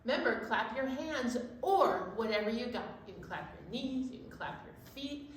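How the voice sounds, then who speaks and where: A woman with a high voice hosts a storytime for young children. She speaks in an encouraging, exclaiming manner. The sound is very echoey and distant from the microphone. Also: expressive, moderate pace, a little hiss or hum. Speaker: children's library storytime host. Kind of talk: children's storytime chat and sing-along.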